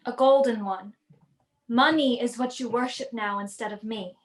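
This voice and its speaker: A poor young sweetheart, played by a woman, high in pitch, sorrowful and reproachful. She speaks in radio play style, with a strong room echo around her voice. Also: normal speed, natural voice. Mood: hurt, reproachful. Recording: echoey room over video call.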